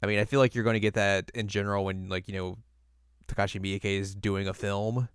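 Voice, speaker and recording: A man's voice: on the low side, flat delivery, a little fast, clear, resonant tone, dry recording. A man co-hosting a podcast, chatting casually and informally about films with some dry humor. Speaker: podcast co-host. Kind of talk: casual film review chat.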